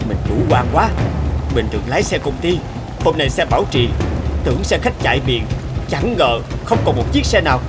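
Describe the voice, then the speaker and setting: A man's voice: mid-range voice, very animated, normal speed, lightly acted. A man playing an anxious, grateful middle-aged trader stranded on his travels, in plaintive cartoon voice acting. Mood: weary, worried. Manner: plaintive, exclamatory. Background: music.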